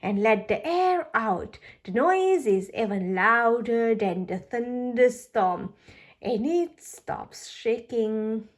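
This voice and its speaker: Slow, expressive woman reading a children's storybook. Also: fairly high pitch. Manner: deliberate, expressive delivery for young children. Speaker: storybook reader. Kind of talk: children's read-aloud narration.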